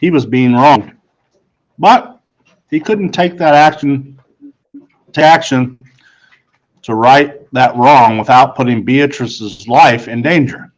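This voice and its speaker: A man's voice: medium pitch, takes it slowly, pauses often, poor audio. A man reading a first-person children's story aloud as scripted narration. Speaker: audiobook reader.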